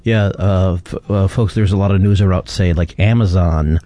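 A man's voice: low voice, moderate pace, dark tone. A man hosting a casual chat, speaking loosely and informally. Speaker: podcast host.

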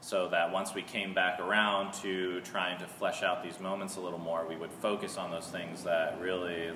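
A man's voice: low-pitched, level tone, moderate pace. An American man casually explaining a creative process as a guest in an interview. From Seattle, United States. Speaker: theater artist, interview guest. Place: artist's studio. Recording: echoey reverberant room, faint noise.

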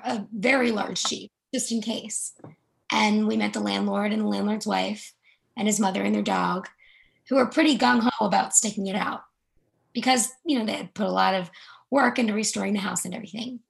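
A woman reading a monologue in a measured, reflective way about fleeing a flood. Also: medium pitch, vocal fry, low-quality recording. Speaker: reader performing a monologue. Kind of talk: readers theatre monologue.

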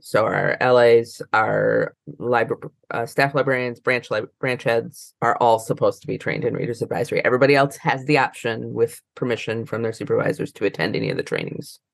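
A woman's voice: rumbling low, steady tone, a little fast, vocal fry, few pauses, no echo. A woman answering informally as a librarian guest in an interview, circling through her explanations with many false starts.

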